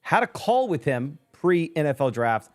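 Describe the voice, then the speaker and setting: A man's voice: a little high, very animated, unhurried. A man hosting a casual fantasy football chat and reading out viewer messages, upbeat and chatty. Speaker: host reading live chat.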